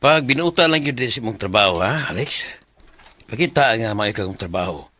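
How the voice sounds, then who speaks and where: An actor playing a kindly, avuncular village pastor in a Bisaya radio drama, with a husky voice and a Cebuano Filipino accent. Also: male voice, fairly low pitch, expressive, fairly quick, pushed and bright. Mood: advising.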